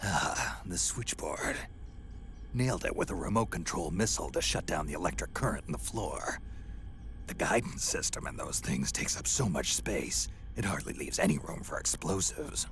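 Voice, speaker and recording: An actor playing a gruff, world-weary old soldier in a video game, with a gravelly American growl and a terse, dry, grumbling delivery. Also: male voice, low voice, expressive, normal speed, a bit bright, slight background noise. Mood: gruff, wary.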